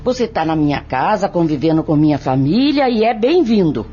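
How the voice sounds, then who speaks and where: A woman's voice: lowish voice, very animated, a little fast, mellow, lo-fi sound, a little hiss or hum. A Brazilian woman playing a stern, protective sister who speaks insistently in an audio drama. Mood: stern, fearful. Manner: insistent, emphatic. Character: stern, protective sister, a seamstress warning her brother. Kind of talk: audio drama acting in Portuguese.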